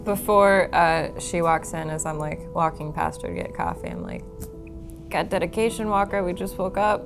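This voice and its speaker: A woman's voice: lowish voice, normal speed. A woman playing a pilot in a casual tabletop roleplaying game. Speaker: tabletop player as pilot character. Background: clear background music.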